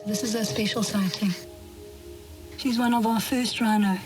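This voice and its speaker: A woman's voice: medium pitch, normal speed. A woman wildlife guide speaking enthusiastically in a documentary field interview. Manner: enthusiastic, lively. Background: music.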